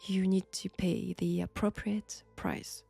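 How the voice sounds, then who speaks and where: A woman with a French accent playing a sinister, flirtatious archaeology professor possessed by an ancient god, deliberate and teasing in an intimate audio roleplay. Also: medium pitch, level tone, vocal fry, dark tone, faint music behind, heavily acted. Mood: grave, protective. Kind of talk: slow, intimate roleplay acting.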